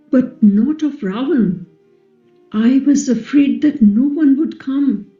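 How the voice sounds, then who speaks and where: A serene but wounded queen of ancient epic , played by a woman in a play reading. Her fairly high voice is extremely mellow, and she speaks deliberately with quiet defiance in an echoey recording. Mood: grave, gentle. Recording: echoey, slightly dull, music underneath.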